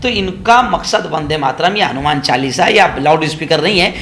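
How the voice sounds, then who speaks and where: A man's voice: on the high side, expressive, normal speed, fairly bright tone, slight background noise. A man giving opinionated political news commentary, sarcastic and mocking, repeating phrases for emphasis.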